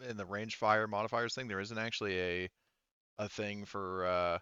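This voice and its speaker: A man refereeing a casual tabletop game as game master, halting and thinking aloud as he checks rules. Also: fairly low pitch, flat delivery, bright, forceful tone, clean tone, no room sound, lo-fi sound.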